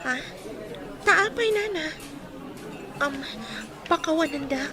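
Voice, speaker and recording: A high-voiced, puzzled younger woman in an Ilocano radio drama. She sounds deferential, flustered and questioning, with a murmur of voices behind her. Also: lively intonation, slow pace, crowd noise. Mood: apologetic, curious.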